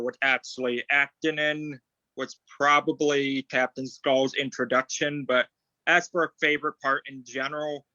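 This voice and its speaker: A man's voice: mid-range voice, pushed and bright, dry recording. A man, an actor, chatting casually about performing, recorded in lo-fi. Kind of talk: casual chat. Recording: dull, dry lo-fi call audio.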